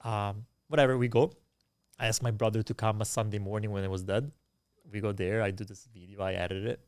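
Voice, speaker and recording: A young man with an Italian accent talks as a musician guest in a loose, animated interview. He leans on fillers and swears freely as he tells stories. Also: lowish voice, brisk, clear, resonant tone, no room sound, studio quality. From Italian Canadian. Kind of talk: casual interview storytelling.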